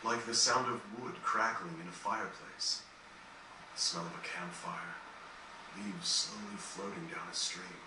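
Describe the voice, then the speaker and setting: Casting: male actor as gentle, philosophical composer near death, lowish voice, game cutscene; distant, noisy capture. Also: very echoey, natural voice. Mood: wistful, somber. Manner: reflective, measured, earnest, formal phrasing. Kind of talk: dramatic game cutscene dialogue.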